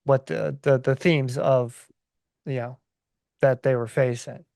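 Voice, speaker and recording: A man in a casual podcast conversation, speaking in a halting, measured way as he thinks aloud. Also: mid-range voice, steady tone, gravelly, a little mellow, no echo, studio quality. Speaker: podcast co-host or guest.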